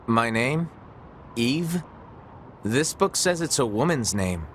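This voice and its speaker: A naive, impatient younger-brother android in a video game. He sounds childlike, speaking slowly at a medium pitch with faint noise behind. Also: very animated, fairly bright tone. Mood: childlike, restless. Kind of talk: English video game voice acting.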